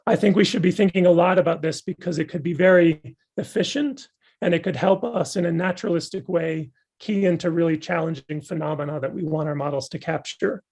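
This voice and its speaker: Male guest lecturer, voice going hoarse, husky and fairly high-pitched, eager and reflective. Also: brisk, a little mellow. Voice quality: gravelly and husky. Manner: thoughtful, enthusiastic academic explaining. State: losing his voice. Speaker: guest speaker. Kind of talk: answering audience questions after a talk.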